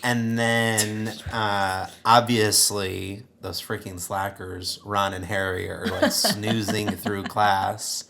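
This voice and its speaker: A man co-hosting a comedy podcast, giving a casual recap with dry, halting storytelling. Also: fairly low pitch, flat delivery, creaky, bright, forceful tone, a little hiss or hum. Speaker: podcast co-host.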